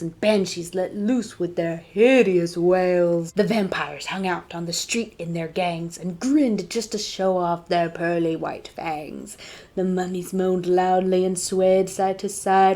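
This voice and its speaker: A woman reading a storybook aloud to children as a librarian, dramatic and dropping to hushed tones for suspense. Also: fairly low pitch.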